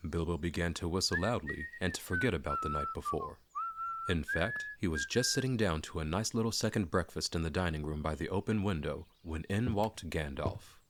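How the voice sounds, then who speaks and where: Guy with a really deep voice reading a fantasy storybook out loud, dramatic narration. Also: huge pitch swings, a little fast, heavy background noise. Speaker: audiobook narrator.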